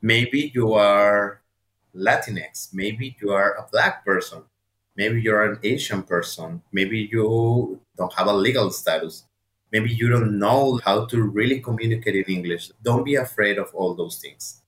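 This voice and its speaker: A man's voice: lowish voice, unhurried, fairly bright tone. Mexican accent guy telling his story, earnest n direct, repeats for emphasis, kinda echoey. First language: Spanish. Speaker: guest speaker sharing personal testimony. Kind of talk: personal testimony and encouragement, addressed to listeners. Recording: roomy, echoing sound.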